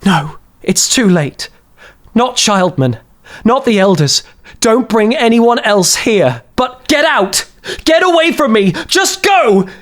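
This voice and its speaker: A man's voice: high voice, big pitch swings, takes it slowly, a bit bright, pitched above the performer's own voice, heavily acted. A man voicing an anguished young sorceress who is turning into a monster, pleading and commanding desperately in an audiobook. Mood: desperate, angry.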